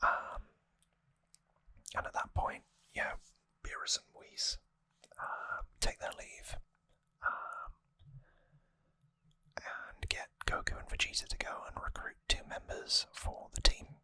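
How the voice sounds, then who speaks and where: Hushed close-up ASMR whisper, very dry. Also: slow, pauses often, no room sound. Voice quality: breathy, unvoiced whisper. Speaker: ASMR host. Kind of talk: whispered ASMR, rambling trivia recap. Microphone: close microphone.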